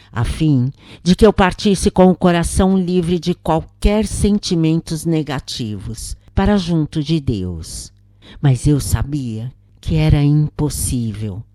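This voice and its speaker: A woman's voice: low-pitched, dark tone, no echo, studio quality. A woman with a Brazilian accent narrating a scripted first-person spiritual account.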